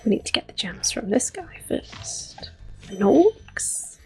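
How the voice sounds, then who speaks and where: Female ASMR gameplay host, soft and breathy, unhurried delivery with whispering, light music underneath. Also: mid-range voice, sing-song. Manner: gentle, unhurried. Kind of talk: soft-spoken ASMR game commentary.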